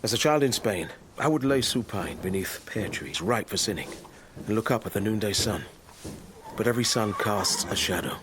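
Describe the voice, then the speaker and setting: An actor playing a proud, devout older Moorish stableman in a period radio drama, solemn, measured and poetic. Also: on the low side, animated, natural voice. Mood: grave, haunted. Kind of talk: period radio drama acting, lyrical speech. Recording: clearly noisy stable ambience behind.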